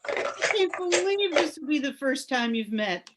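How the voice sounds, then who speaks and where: A woman's voice: high voice, very animated, normal speed, heavy background noise. An older woman playing a supportive, protective neighbor friend in an old-time radio soap. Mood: reassuring.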